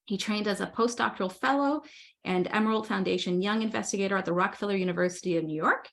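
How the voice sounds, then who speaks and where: A woman hosting a webinar over a video call, reading a speaker introduction in a friendly but slightly halting way. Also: medium pitch, gravelly, a bit bright. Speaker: webinar host.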